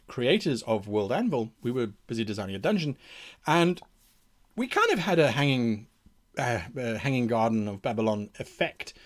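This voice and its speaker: A man hosting an advice show in a casual, enthusiastic explaining style, with theatrical emphasis. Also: medium pitch. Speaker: host of a game mastering advice show.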